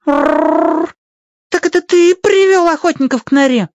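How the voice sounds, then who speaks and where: Radio-play young fox: squeaky-high, proud, distrustful, yappy delivery. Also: takes it slowly, no room sound, exaggerated voice. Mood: suspicious. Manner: punctuates speech with sharp yelps. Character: proud, suspicious young male fox, yapping and defiant.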